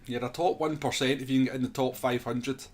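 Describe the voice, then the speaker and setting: A man's voice: mid-range voice, fairly even intonation, brisk, very noisy. A man hosting a gaming livestream, chatting casually and replying to viewers as he goes. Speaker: livestream host. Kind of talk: casual gaming stream chat.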